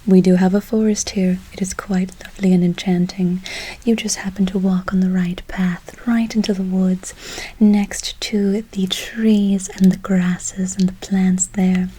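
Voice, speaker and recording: Casting: woman to play gentle, mysterious witch for whispered ASMR role play; breathy, even delivery, quaint wording, soothing repetition. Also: mid-range voice, poor audio. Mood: soothing, gently boastful. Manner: slow, repeating words soothingly, quaint formal phrasing. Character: kindly, mysterious female forest witch casting good-luck spells.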